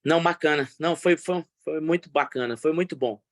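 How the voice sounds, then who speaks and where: A man with a Goiano accent speaks on a video call. His voice sits a little high for a man. He keeps his words short and upbeat, thanking the host. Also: brisk. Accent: Goiano Portuguese. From Goiás, Brazil.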